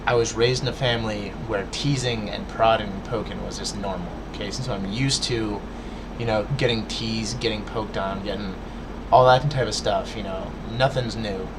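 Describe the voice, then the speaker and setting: A man with a lowish voice speaks straight to his viewers, lecturing earnestly and often stumbling over words with a stutter. A clear steady hum of background noise sits under his voice. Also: moderate pace. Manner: stutters, restarts, many fillers. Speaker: vlogger. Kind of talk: casual rant to camera.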